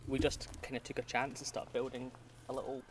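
A man's voice: mid-range voice, flat delivery, fairly quick, far from the mic, background noise. A Scottish man in his thirties chatting casually while gardening, laughing often and trailing off with fillers. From Scotland. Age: 30s. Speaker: podcast guest.

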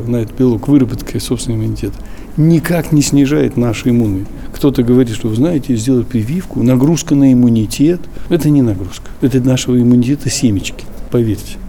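A man's voice: mid-range voice, fast, warm tone, a little hiss or hum. A man speaking as an interviewed doctor, explaining and instructing in informal spoken language, in a muffled recording.

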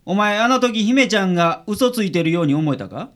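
An actor playing a gruff, lazy but secretly kind male private detective with a blunt Kansai accent in a radio drama. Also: mid-range voice, lively intonation, brisk, a bit bright, natural voice. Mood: probing.

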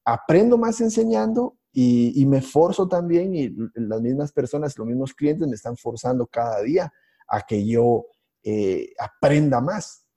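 A man co-hosting a podcast, telling stories in a halting way with many restarts and fillers. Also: mid-range voice, lively intonation, dark tone, husky. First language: Spanish. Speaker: podcast co-host, IT instructor. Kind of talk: casual podcast conversation.

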